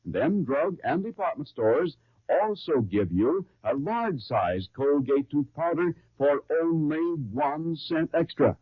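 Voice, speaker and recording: A man announcing and recapping a radio serial in brisk, salesy 1930s style. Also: fairly high pitch, very animated, husky, no room sound. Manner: brisk, salesy, then storytelling. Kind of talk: 1930s sponsor ad and program intro. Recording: tinny 1939 radio broadcast recording.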